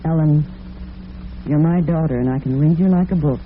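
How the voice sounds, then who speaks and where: A woman's voice: low-pitched, normal speed, natural voice. A middle-aged woman playing a suspicious, probing mother in an old-time radio drama. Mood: suspicious, insistent. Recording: tinny, noisy vintage radio broadcast.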